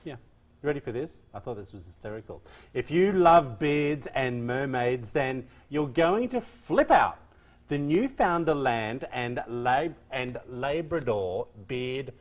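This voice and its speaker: Chatty woman with a soft Australian accent. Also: very deep voice, expressive, takes it slowly. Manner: chatty, laughs often, stretches out words for effect. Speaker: co-host. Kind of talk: casual chat.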